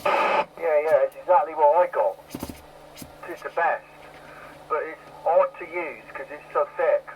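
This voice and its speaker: A man's voice: on the high side, measured pace, pauses often. Man on muffled CB radio. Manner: agreeable, repeats himself. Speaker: amateur CB radio operator. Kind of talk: casual CB radio chat. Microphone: CB radio set. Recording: dull, lightly hissy CB radio.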